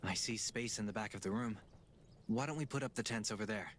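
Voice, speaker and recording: A man's voice: fairly low pitch, a little fast, fairly bright tone, a little hiss or hum, close to an everyday voice. An actor playing a helpful, inquisitive male survivor in a video game. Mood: curious, practical.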